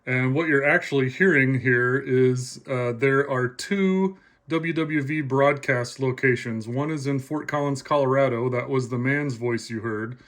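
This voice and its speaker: A man giving a casual, plainspoken explanation to viewers, like a hobbyist presenting a demonstration. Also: mid-range voice.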